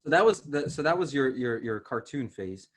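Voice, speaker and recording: A man from Ukraine hosting a podcast with casual, teasing banter and animated storytelling, in a husky voice. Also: medium pitch, normal speed. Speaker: podcast host.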